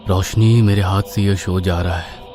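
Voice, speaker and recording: A man voicing an anxious, determined horror writer with earnest, dramatic delivery in an animated story. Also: deep voice. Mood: weary, desperate.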